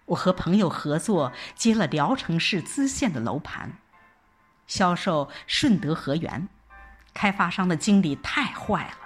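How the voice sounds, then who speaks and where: Female audio drama narrator, lowish pitch, very varied intonation, telling a first-person story with faint music behind.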